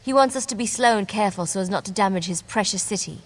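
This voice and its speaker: Brief: a woman doing a dry-witted veteran fighter woman, game companion, steady mid-range voice. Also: mid-range voice, fast talker, lightly acted. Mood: wry. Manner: dry, sarcastic. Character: wry seasoned woman warrior companion. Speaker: game character.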